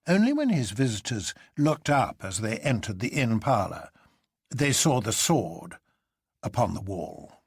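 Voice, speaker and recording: A man's voice: lowish voice, sing-song, a little slow, slightly rough, no room sound, clean studio sound. A man narrating a literary story in a dramatic audiobook style.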